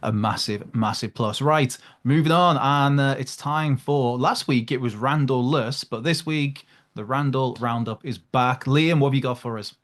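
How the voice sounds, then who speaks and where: Host moving the show along. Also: male voice, mid-range voice. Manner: brisk, steering segments along. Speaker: podcast host. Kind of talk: casual podcast chat.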